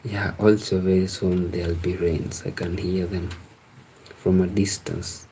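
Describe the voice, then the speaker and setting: A man hosting a casual live stream, chatting with viewers in a halting, repetitive way. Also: low-pitched, little pitch movement, dark tone, a little hiss or hum, rough recording. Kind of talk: casual chat with live viewers, reading out and answering comments.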